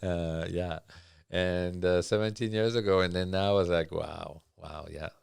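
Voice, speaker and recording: A man's voice: sits low, steady tone, measured pace, gravelly, smooth, no room sound, studio quality. A middle-aged man from France with a French accent, a culinary instructor chatting in an interview, hesitant and full of fillers. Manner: hesitant, many fillers and restarts. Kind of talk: casual interview chat.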